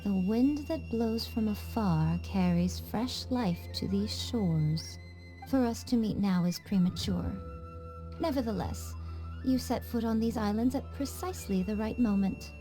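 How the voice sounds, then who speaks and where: Enigmatic, poised noblewoman, game role, female actor: unhurried, dark-toned, knowingly superior. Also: mid-range voice, faint music behind, natural voice. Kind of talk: English video game dub acting.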